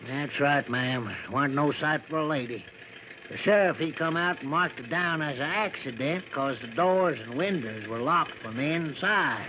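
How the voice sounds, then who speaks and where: An actor playing a folksy backwoods American driver who gossips grimly and deferentially, in a drawn-out vintage radio drama style. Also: male voice, a little high, natural voice. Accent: rural American. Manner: folksy, drawn-out storytelling with dialect grammar. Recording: muffled, noisy vintage radio broadcast.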